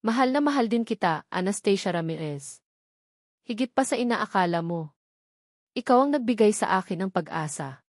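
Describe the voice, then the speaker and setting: A woman voicing a gentle man, a former doctor now working as a security guard, who speaks with tender humility. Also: medium pitch, expressive, moderate pace, pauses often, dry recording, studio quality, natural voice. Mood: loving. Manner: tender, humble.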